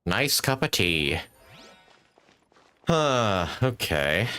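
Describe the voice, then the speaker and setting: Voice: sits low, sing-song, very slow, bright, forceful tone, clear, resonant tone. A game streamer giving casual live gameplay commentary in wry, muttered reactions. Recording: game music behind.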